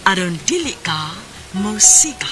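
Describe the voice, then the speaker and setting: A woman reciting scripted maxims in a measured way for a radio drama introduction. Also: medium pitch, sing-song, bright, forceful tone, faint music behind. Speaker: radio programme announcer.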